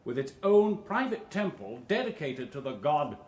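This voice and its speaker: An actor playing a smooth, officious tour guide in a radio drama, with a formal, flowery way of announcing. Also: male voice, a little high, sing-song, moderate pace, darkish tone. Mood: grand, declaiming. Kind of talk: British radio drama acting.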